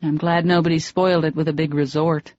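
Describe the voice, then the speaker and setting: A woman reading an audiobook, voicing a quick-tempered young woman who snaps back sharply. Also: flat delivery, lightly acted. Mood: wry. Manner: shrill when angry, sharp retorts. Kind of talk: audiobook dialogue. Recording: dull lo-fi dry audio.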